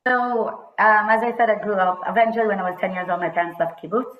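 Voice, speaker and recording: A woman's voice: mid-range voice, quick pace, echoey room, muffled recording, soft background music. A woman in her thirties from Israel, chatting as a podcast guest and mixing Hebrew words into her talk. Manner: chatty, mixes in Hebrew words, laughs often. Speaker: guest, HR consultant. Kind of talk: casual interview conversation.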